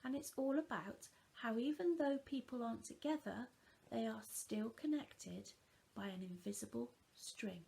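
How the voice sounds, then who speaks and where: A woman reading a children's story aloud in a deliberate, expressive storytelling manner. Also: a little high, gravelly, poor audio.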